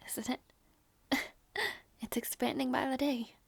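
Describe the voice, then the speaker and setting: Casting: female actor for tender princess aunt in audio roleplay, high voice, very dry close sound, doting and coaxing. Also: fairly even intonation, takes it slowly, gravelly, husky, no echo, exaggerated voice. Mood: quiet, reflective. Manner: sweet, coaxing, sighing. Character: gentle doting princess aunt minding her little nephew.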